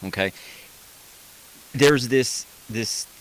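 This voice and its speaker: A man hosting a call-in radio advice show, talking in an energetic, emphatic way and checking in with "okay?". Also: medium pitch, extremely slow, low-quality recording. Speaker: radio host, mortgage broker.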